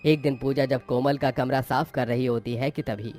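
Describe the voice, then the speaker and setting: Male story narrator over soft music. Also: medium pitch, steady tone, normal speed.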